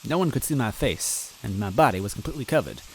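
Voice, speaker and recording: A man narrating a story in first person in a wry, measured way. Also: on the low side, very animated, vocal fry, a little hiss or hum, rough recording. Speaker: story reader.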